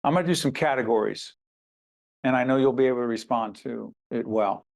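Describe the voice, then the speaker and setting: A man's voice: medium pitch, fairly quick, gravelly, a little mellow. A man who grew up in Newark, hosting an interview with an author in an emphatic, interjecting way. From Newark, New Jersey. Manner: emphatic, interjecting, directs the conversation. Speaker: host.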